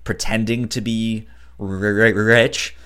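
Low-voiced man with a stutter. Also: little pitch movement, sharp tone, smooth. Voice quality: stutter, repeats first sounds of words. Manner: halting, hesitant delivery with frequent restarts. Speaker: podcast co-host. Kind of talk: casual film discussion.

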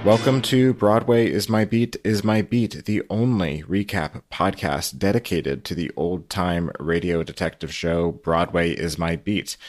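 Very low-pitched man hosting a podcast. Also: steady tone, unhurried, few pauses. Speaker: podcast host. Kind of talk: scripted podcast introduction, then conversational interviewing.

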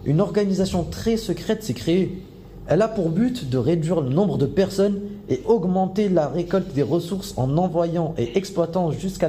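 A man plays a furious male intruder, high-pitched and slow, hurling accusations. The voice is dark and mellow and rings with room echo. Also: slight background noise, lightly acted. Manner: shouting, accusing, slow and heavy.

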